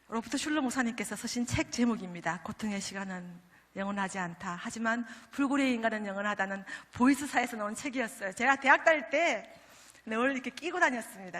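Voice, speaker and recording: A middle-aged woman with a Gyeongsang accent delivers a chatty, joking sermon from the stage, teasing her listeners between her points. Her voice sits high, and it carries a long echo through a large church sanctuary. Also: fairly bright tone. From Daegu, South Korea. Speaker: pastor's wife speaking at a prayer meeting. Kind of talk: humorous testimony sermon.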